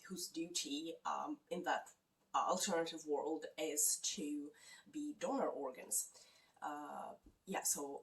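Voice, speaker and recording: A woman with a non-native English accent chatting casually about books she plans to read, pausing often with fillers. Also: sits low, gravelly, recorded from a distance, rough recording. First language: not English. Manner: hesitant, many fillers. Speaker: book vlogger. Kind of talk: casual chat. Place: lives in Canada.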